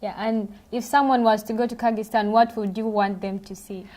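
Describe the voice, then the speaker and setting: A teenage girl hosts a school TV interview. Her voice is mid-pitched and mellow, and her intonation stays quite even. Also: a little fast. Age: teens, high school junior. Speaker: student host.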